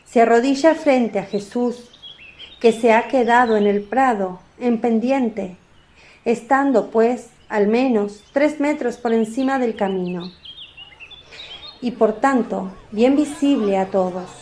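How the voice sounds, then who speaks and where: Female narrator for biblical radio play, unhurried, soft mellow tone, slightly high register. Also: a little hiss or hum. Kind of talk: scripted dramatic narration.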